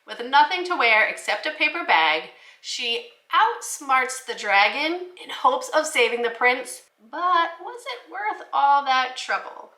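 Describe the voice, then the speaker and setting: A woman teacher giving an instructional talk to fellow educators, explaining things clearly. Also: high-pitched, very animated, unhurried, few pauses, sharp tone. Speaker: teacher presenting children's books for the classroom. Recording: roomy, echoey indoor sound.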